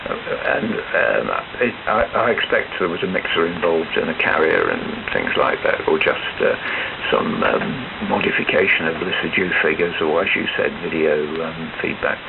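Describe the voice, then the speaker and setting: Man, gravelly voice, halting casual tech chat, thin narrow-band ham radio signal, clear static hiss. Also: a bass voice, lively intonation. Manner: hesitant, halting, chuckling. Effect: shortwave radio transmission. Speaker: amateur radio operator.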